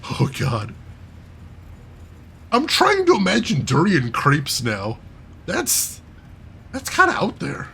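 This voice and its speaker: A man's voice: high-pitched, huge pitch swings, slow pace, vocal fry, pauses often, pushed and bright, slight background noise. A man doing let's play commentary, reading game text aloud and reacting with amusement. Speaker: video game streamer.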